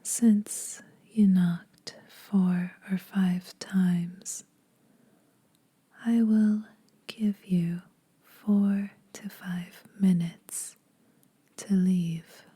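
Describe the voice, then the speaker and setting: A woman playing a menacing, teasing vampire huntress in a soft, breathy ASMR whisper, slow, deliberate and condescending. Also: on the low side, steady tone, exaggerated voice. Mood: cranky, menacing.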